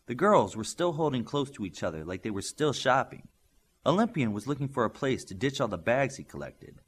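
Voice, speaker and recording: A man's voice: medium pitch, very animated, fairly quick, husky. A man reading scripted story narration, as an audiobook reader does.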